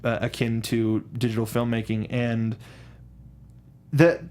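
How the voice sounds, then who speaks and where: A man co-hosting a casual film discussion, speaking in a halting, rambling way and often circling back to rephrase with enthusiasm. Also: mid-range voice, little pitch movement, darkish tone. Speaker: co-host of a film discussion show.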